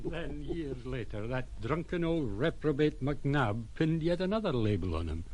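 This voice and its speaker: An actor playing a blunt, gossipy sea captain, narrating an audio drama in a wry, conversational way. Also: male voice, mid-range voice, sing-song, normal speed, a little mellow, a little hiss or hum, lightly acted. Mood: scornful.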